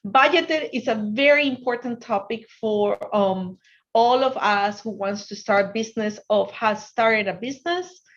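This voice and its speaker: A woman's voice: fairly high pitch, measured pace, highs cut off. Earnest Spanish-accented woman giving a workshop. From Ecuador. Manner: earnest, restarts sentences, asks if the audience can hear. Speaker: guest speaker on finance. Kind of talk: online workshop presentation.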